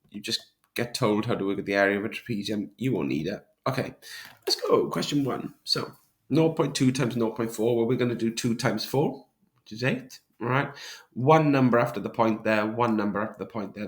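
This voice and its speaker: A male maths teacher with a hoarse voice from a sore throat, talking students through a revision sheet step by step. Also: lowish voice. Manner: step-by-step explanations, checking with "right?". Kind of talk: recorded revision walkthrough.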